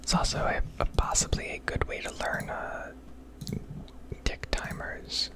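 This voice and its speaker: An American woman whispering a casual, rambling ASMR commentary over gameplay, swearing freely. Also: a little slow. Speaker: video creator narrating gameplay.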